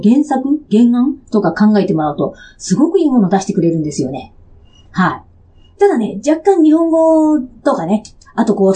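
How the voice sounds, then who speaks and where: Woman, radio host, very varied intonation, chatty, playful, expressive. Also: medium pitch.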